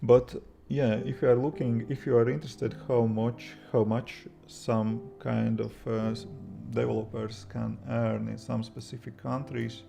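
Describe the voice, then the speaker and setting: A young Latvian man, a native Latvian speaker with a Latvian accent, chatting at home on a livestream, halting and restarting often. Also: mid-range voice, little pitch movement, vocal fry. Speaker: livestream host, iOS developer. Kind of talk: casual livestream chat reading viewer comments. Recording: guitar music playing behind the voice.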